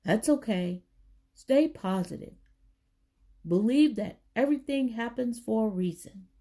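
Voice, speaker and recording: A woman reading a children's book aloud, with deliberate, emphatic phrasing for young listeners. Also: a little high, expressive, dark tone. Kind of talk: storybook read-aloud for children.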